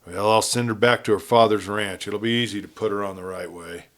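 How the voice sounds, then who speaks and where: A man's voice: fairly low pitch, fairly even intonation, a little fast, lightly acted. A man voicing a wary, principled outlaw lieutenant in a Western story, with guarded, earnest cowboy speech. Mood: reluctant, questioning.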